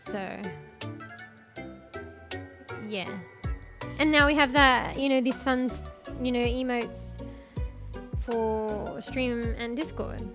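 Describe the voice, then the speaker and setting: A woman streamer chatting casually with her viewers, rambling with lots of fillers and the odd swear, her voice going a bit hoarse. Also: a little high, slow pace, long pauses, fairly bright tone. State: losing her voice, coughing. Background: music.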